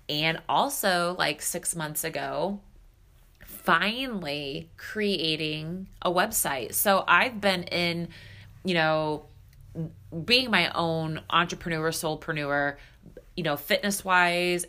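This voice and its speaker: A woman's voice: lowish voice, bright, forceful tone. A woman in her thirties hosting her own podcast, talking candidly and casually with lots of fillers, a little stuffed up and nasal. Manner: chatty, candid, filler-heavy. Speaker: podcast host and spiritual coach. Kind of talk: solo podcast monologue.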